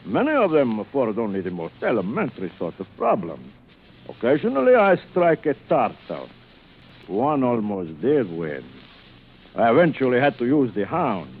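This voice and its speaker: An actor playing a suave, cruel aristocratic older general who hunts men, in urbane, theatrical 1940s radio thriller style with savored pauses. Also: male voice, medium pitch, wildly swooping pitch, slightly rough, heavily acted. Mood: coolly menacing. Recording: muffled, noisy vintage radio recording.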